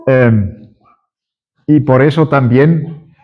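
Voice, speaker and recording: A man preaching a sermon at a church conference in Spanish, which is not his first language, speaking slowly and haltingly with many fillers and restarts. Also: medium pitch. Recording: dull lo-fi recording.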